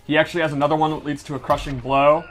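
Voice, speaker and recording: Higher-voiced man breaking down game moves. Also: flat delivery, rapid-fire, fairly bright tone. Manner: enthusiastic, technical, explanatory. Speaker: game presenter explaining fighting moves. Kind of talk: casual gameplay breakdown.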